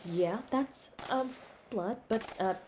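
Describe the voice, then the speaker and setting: A woman's voice: mid-range voice, takes it slowly, a little hiss or hum, natural voice. A woman playing a selfless, protective superhero in an intimate audio roleplay, speaking in an earnest, urgent way. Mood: hushed reassurance. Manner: hushed, earnest, reassuring.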